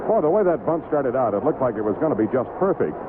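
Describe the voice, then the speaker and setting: A man with a mid-pitched voice works as a baseball radio announcer. The sound is narrow and tinny, buried in loud vintage static and ambient noise. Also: sing-song, fast talker. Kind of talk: broadcast announcing and colour commentary. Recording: very noisy, tinny 1970s AM radio.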